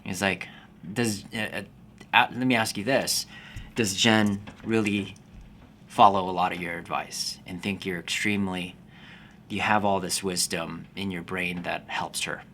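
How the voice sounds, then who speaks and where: A man in his forties, born in the Philippines, a fitness business coach talking to camera in a calm, slow, unhurried way. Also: low-pitched, a little hiss or hum. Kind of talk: casual talking-to-camera vlog.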